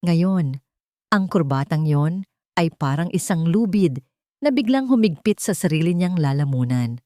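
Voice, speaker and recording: A woman narrating a dramatic love story in a measured, solemn way. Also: fairly low pitch, expressive, warm tone, dry recording, studio quality.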